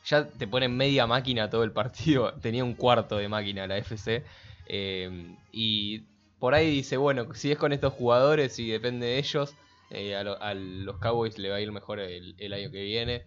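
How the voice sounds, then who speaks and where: Argentine guy, lowish voice, joking around, muffled audio with faint music. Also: moderate pace, fairly bright tone. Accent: Argentine Spanish. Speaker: podcast co-host. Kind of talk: casual sports chat.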